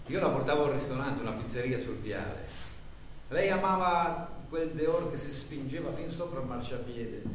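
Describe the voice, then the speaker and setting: An actor playing a lonely, abandoned man, speaking a slow, halting, introspective theatrical monologue. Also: medium pitch, a little hiss or hum, lightly acted. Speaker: stage actor in a radio theatre broadcast. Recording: echoey stage recording from far off.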